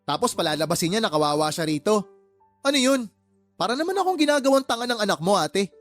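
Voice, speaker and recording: An exasperated uncle in a radio drama, pitched high for a male voice. He scolds with pointed, emphatic questions and sounds thoroughly indignant. Background music plays under him. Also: very animated, fast. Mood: angry, accusing. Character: exasperated, indignant adult uncle confronting his older sister.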